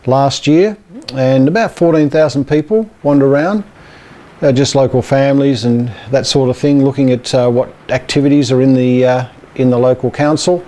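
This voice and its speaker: A man's voice: medium pitch, fairly even intonation, moderate pace, dark tone. A man with an Australian accent giving a casual, hesitant, rambling update as an amateur radio club presenter.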